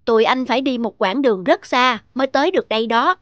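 A woman's voice: a little high, lively intonation, fast, a bit bright, no room sound, lo-fi sound. A woman voicing a friendly, responsible young male charity leader in a cartoon, with an instructive manner. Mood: instructing. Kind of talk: cartoon dubbing.

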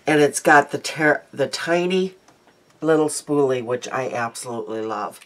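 A woman's voice: extremely low pitch, lively intonation, normal speed. A woman in her fifties chatting casually about her day and makeup in a rambling daily vlog, sounding congested, recorded on a close webcam in a small room at home. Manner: chatty, rambling, frequent ums. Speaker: beauty vlogger.

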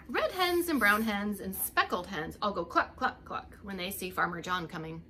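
A middle-aged woman reads a children's story in a bright, very lively voice that rises and dips. She speaks as a preschool teacher to little listeners, adding short asides. There is some noise in the room behind her. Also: mid-range voice. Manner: animated, expressive reading for young children. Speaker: preschool teacher reading aloud. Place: indoors, home living room.

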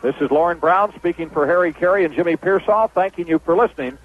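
A man with a high-pitched voice delivers a baseball radio wrap-up in the manner of a 1970s announcer. The sound is dull and lo-fi, like an old broadcast. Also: fast. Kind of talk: 1970s radio sports wrap-up, reading scores and sponsors.

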